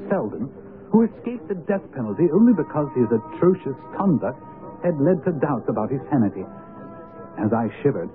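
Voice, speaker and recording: Man as an earnest gentleman telling his story, lively pitch, music behind, muffled radio. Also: natural voice. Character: earnest English gentleman companion narrating his account. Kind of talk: dramatic radio narration.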